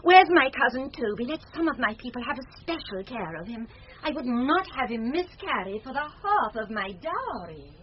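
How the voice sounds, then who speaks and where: A woman's voice: fairly high pitch, sing-song, normal speed. An actress with an English accent playing a noble young lady, concerned and commanding, in a classic radio Shakespeare play. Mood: brisk commanding. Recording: muffled, noisy 1970s radio recording.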